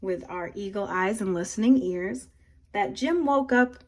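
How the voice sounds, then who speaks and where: A woman teacher reading a storybook aloud and talking to young listeners with clear emphasis. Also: mid-range voice, measured pace. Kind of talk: expressive storybook read-aloud and lesson for children.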